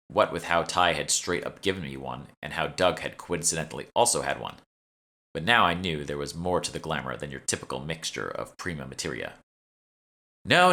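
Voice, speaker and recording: A man reads first-person fiction in a low voice. His narration is scripted and expressive, with lively movement in pitch. The tone is bright and forceful. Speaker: author reading own audiobook.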